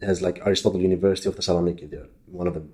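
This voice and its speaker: Low-voiced Greek man, halting speech. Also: level tone, crowd noise. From Greece. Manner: halting, many restarts and fillers. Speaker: podcast guest, physicist. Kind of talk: casual interview chat.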